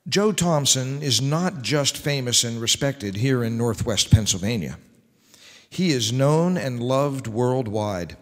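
Unhurried male announcer in an echoing hall. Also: mid-range voice, lively intonation, fairly bright tone. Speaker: radio show host. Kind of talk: scripted old-time radio announcing. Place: large wood-panelled hall, on stage. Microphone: stand microphone. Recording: echoey hall with long reverb.

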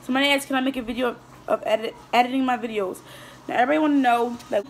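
A teenage girl talks through a mukbang vlog, her voice a little high, her speech rambling and full of restarts. She chats between bites into a phone camera on the table in front of her. A faint hiss sits under her voice. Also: steady tone, racing, low-quality recording. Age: teens. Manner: rambling, chatty, with frequent restarts and fillers; talks while eating, mouth sometimes full. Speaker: vlogger. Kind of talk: casual mukbang chat answering viewer questions. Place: small indoor room at a table.

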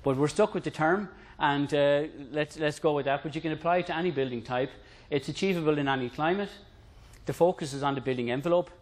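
A man's voice: a little high, quick pace. A middle-aged man with an Irish accent presenting a technical concept to a room in a conversational, lightly humorous way. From Ireland. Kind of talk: informal lecture to a room.

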